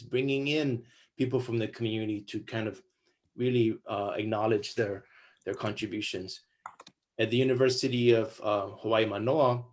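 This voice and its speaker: A man from Guam giving an enthusiastic panel presentation over a video call, listing example after example with occasional fillers. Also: medium pitch. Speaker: guest speaker on curricula.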